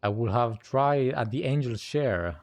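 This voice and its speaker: A man's voice: mid-range voice, animated, unhurried. A man giving casual, off-the-cuff reaction commentary as a gaming content creator. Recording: faint game music behind. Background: video game music.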